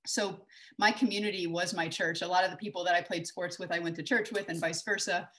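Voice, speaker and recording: A woman's voice: lowish voice, fairly even intonation, brisk, fairly bright tone. A woman in her forties from Texas giving a conversational conference talk over a video call, with many fillers and restarts. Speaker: conference speaker. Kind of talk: online conference talk with slides. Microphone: webcam on a video call.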